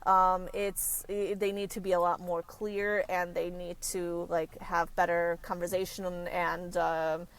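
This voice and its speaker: A woman career consultant answers interview questions in a halting, conversational way. Her voice sits a little low, and she restarts her sentences often as she searches for words. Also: flat delivery. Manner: halting, frequent self-corrections. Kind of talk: casual podcast interview.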